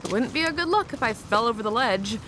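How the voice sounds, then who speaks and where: An actor playing a young wheelchair-using tour guide in a radio play, earnest, blunt and outspoken. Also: female voice, a little high, animated, quick pace, a bit bright. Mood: wry. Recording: faint ambient noise.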